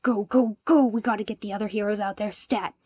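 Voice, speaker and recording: A woman voicing a gruff veteran hero dispatcher in a podfic, clipped, colloquial and bossy. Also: medium pitch, steady tone, a little fast, a little mellow, no room sound, highs cut off, natural voice. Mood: urgent.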